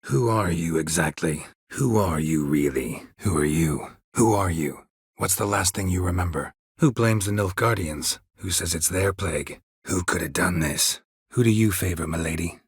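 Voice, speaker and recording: An actor playing a stoic, world-weary monster hunter in a video game, with a gravelly, husky voice and terse, dry, deadpan delivery. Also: male voice, low-pitched, moderate pace, no room sound. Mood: dry, questioning.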